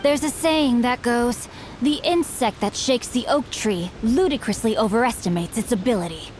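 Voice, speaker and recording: A woman's voice: fairly high pitch, normal speed, darkish tone, a little hiss or hum, lightly acted. A woman voicing a bookish, chivalrous teenage boy swordsman in a video game, speaking in a formal, eloquent, earnest way. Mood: resolute. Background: game music.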